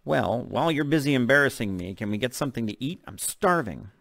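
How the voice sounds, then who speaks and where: A man's voice: medium pitch, expressive, fairly quick, vocal fry, fairly bright tone, dry recording. Audiobook teen son, read by a man: snotty, annoyed, sarcastic, dry recording. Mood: impatient. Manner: snotty, exasperated. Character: sarcastic, easily annoyed seventeen-year-old son.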